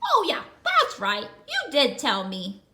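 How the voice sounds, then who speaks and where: A woman's voice: high voice, huge pitch swings, slow pace, bright, forceful tone, exaggerated voice. A woman voicing a cheerful, talkative female shaggy red dog in a storybook reading. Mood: bubbly. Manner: bubbly, talkative, eager.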